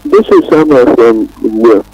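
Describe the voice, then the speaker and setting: A man's voice: mid-range voice, big pitch swings, a little slow, darkish tone, husky, dry recording, muffled recording. A man with a Nigerian accent phoning in to a radio show, earnestly exhorting and repeating his words. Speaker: radio phone-in caller. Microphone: phone call.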